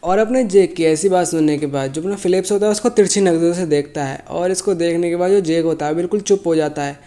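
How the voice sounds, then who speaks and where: High, dark-toned man with a sore throat. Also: fast talker. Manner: loose, self-correcting, often rephrases himself mid-sentence. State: sore throat, feeling unwell. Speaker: manhwa recap narrator. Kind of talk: casual story recap narration.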